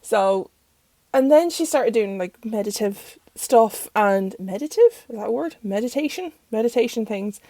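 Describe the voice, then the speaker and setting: A young Irish woman talks through a review in a loose, rambling way, her voice croaky as she gets over being sick. Her voice sits a little high for a woman, and the sound is close and dry with no room echo. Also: expressive, moderate pace, warm tone. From Ireland. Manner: chatty and rambling, self-interrupting. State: croaky, recovering from illness. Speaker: tarot channel host. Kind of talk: casual deck review. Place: bedroom at home.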